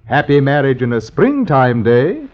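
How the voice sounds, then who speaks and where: An actor playing a sly, sentimental army officer uncle in an old-time radio drama, theatrical and solemnly singsong. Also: male voice, medium pitch, sing-song, measured pace. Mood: solemn, coaxing. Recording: muffled vintage radio recording.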